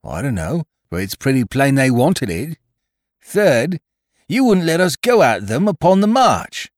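A man puts on a mutinous pirate crewman for an adventure storybook. He is blunt, belligerent and accusing, his rustic sailor speech pushed up and loud with lively swings. The recording is dry. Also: medium pitch, unhurried, no echo, voice pushed up. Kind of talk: dramatised audiobook reading of a classic adventure story.

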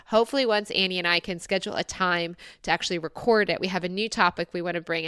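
A woman's voice: mid-range voice, a bit bright, smooth, no room sound, studio quality. A woman hosting her own podcast, talking in a chatty, upbeat way and laughing often. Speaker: podcast host. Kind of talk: casual solo podcast monologue.

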